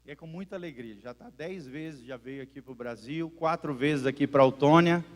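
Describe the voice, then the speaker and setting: A man's voice: fairly high pitch, normal speed. A fervent male pastor speaks in a slightly raised voice, repeating phrases as he introduces a guest and interprets live. The room lends a long church reverb to every line. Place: church service.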